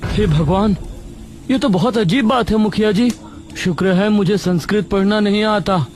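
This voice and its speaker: A man's voice: sits high, normal speed, a little mellow, pitched above the performer's own voice, lightly acted. A man voicing a young, educated, curious village schoolteacher in a cartoon story, speaking earnestly and with wonder. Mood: astonished. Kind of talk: Hindi cartoon story dialogue.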